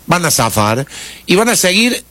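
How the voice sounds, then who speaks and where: Male radio commentator, Argentine accent, slightly high voice, close dry sound, rhetorical and pointed. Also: sing-song, normal speed, bright, forceful tone, dry recording. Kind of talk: opinion commentary, conversational. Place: radio studio.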